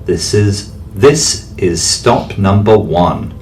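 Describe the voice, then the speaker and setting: A man voicing the Grinch as a sly, scheming storybook creature who tells smooth lies. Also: sits low, animated, drawn-out words, a little mellow, a little hiss or hum, voice pushed down, exaggerated voice. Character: sly scheming male Grinch, grumpy and slick. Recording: voice from off-mic, faint music.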